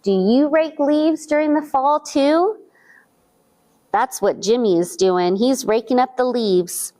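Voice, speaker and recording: A woman reading a picture book aloud to young children at a library storytime, asking them questions as she goes. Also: sits high. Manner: slow, animated, speaking to young children with questions.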